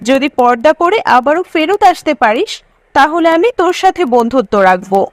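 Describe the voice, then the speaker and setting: A woman's voice: sits high, big pitch swings, fairly quick, slight background noise. A woman voicing a pious, moralising young college girl in a cartoon story. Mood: scolding. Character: pious, scolding young college girlfriend Mariam.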